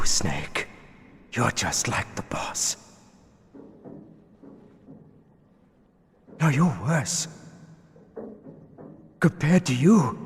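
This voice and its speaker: An actor voicing a dying, murderous masked psychic villain in a video game, with breathy, strained whispering and a halting, theatrical delivery. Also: male voice, medium pitch. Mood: bitter. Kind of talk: dramatic video game acting. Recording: long echoing reverb, faint noise.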